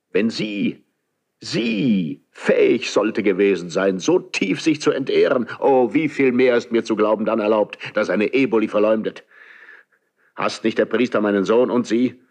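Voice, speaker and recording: A deep-voiced, brooding, commanding king. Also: gravelly, heavily acted. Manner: measured, weighty stage declamation with pauses. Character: proud, lonely, mistrustful aging king, commanding and brooding. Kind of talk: 1970s German radio play, classical verse acting.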